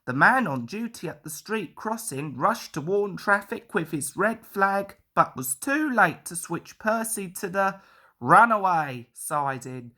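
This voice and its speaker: A woman's voice: mid-range voice, animated, measured pace, bright, forceful tone. A woman reading a children's story as a scripted narration.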